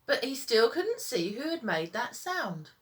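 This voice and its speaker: Female storytime teacher, high-pitched and very animated, reading rhyming children's tales with a sing-song lilt. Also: a little slow.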